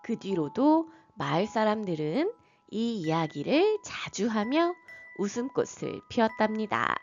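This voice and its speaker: Woman narrator, bedtime folktale, very slow, lively melodic pitch, slightly dull recording with soft music. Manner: gentle, lilting. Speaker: storyteller.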